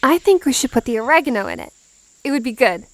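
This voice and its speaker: Woman doing a sweet jumpy teen girl for a comic dub, high voice that swoops around, bit of music. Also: sing-song, fast talker. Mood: startled.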